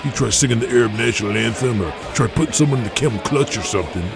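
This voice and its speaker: A man's voice: medium pitch, racing, vocal fry, over music, natural voice. A man playing a hostile, suspicious bar bouncer in an audio drama, blunt and slangy.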